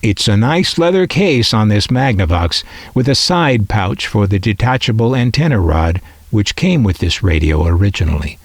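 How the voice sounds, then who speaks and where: A man narrating a scripted piece as a hobbyist collector, with reflective, measured phrasing. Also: fairly low pitch, slightly rough, dry recording. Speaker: radio collector presenting their collection.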